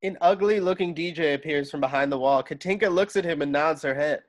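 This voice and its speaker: A man with a fairly high voice serves as narrator. He reads out scripted stage directions for a table read on a video call. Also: brisk.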